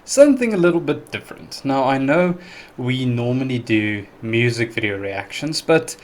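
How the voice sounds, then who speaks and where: Casual South African male host, Afrikaans first language, mid-pitched, relaxed and chatty, lots of ums. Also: moderate pace, slight background noise. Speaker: reaction video host. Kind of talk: casual reaction commentary.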